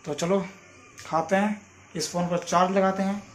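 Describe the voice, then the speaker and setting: A young man with a notably high voice talks casually to his viewers like friends. He is vlogging on a handheld phone at arm's length, with light noise around him. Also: steady tone, normal speed. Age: young adult. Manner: informal, addresses viewers as friends. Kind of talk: casual vlog chat to camera. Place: in and around a home, indoors and outdoors. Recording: noisy handheld phone recording.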